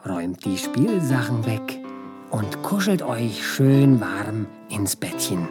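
Woman with an unusually deep voice, lively melody, bedtime show host, loud piano music behind. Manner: slow, soothing. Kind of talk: bedtime show intro and outro.

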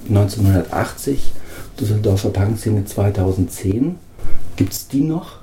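Interviewer from northern Germany with a very dark, warm voice, recorded in a roomy space. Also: male voice, on the low side, lively intonation, measured pace, slight background noise. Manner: chatty, tells long anecdotes. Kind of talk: casual interview chat. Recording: roomy echo.

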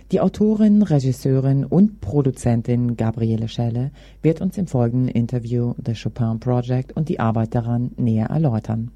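Low-key radio interviewer lady, really dark mellow voice, super dry sound, prepared questions. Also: no echo.

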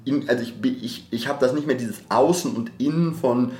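A man's voice: medium pitch, brisk, a little mellow. A man in a reflective podcast discussion, speaking in a searching, self-correcting academic way. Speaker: podcast co-host. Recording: roomy echo, background guitar music.

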